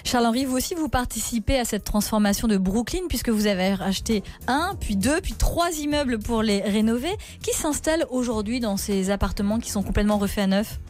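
Woman radio host, slightly high voice. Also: lively intonation, a little fast, hardly any pauses, a little mellow. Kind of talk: radio interview questions.

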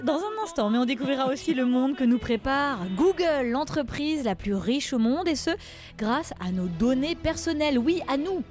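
A woman co-hosting a French radio show, welcoming listeners and leading the topic in a lively presenting manner. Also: sits high, moderate pace, soft background music. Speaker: radio co-host.

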